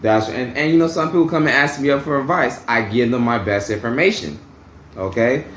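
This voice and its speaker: A man's voice: mid-range voice, brisk, a bit bright, roomy sound, a little hiss or hum. A man casually telling a story about his job, rambling and repeating himself, often asking "okay?" and swearing bluntly. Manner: blunt, uses profanity freely. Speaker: vlogger telling a work story.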